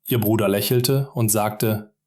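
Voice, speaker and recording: A man's voice: fairly low pitch, steady tone, unhurried, dark tone. A man narrating a simple story for German learners, speaking directly and encouragingly to the listener.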